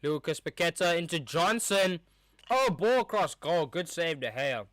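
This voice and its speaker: Young man, live watchalong host, rambling chatty match calls, bright tone, dry close mic. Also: on the high side, animated, a little slow, dry recording. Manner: rambling, chatty, reacts to play as it happens. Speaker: streamer hosting a match watchalong. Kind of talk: casual live match commentary. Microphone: streaming mic with a cover on top.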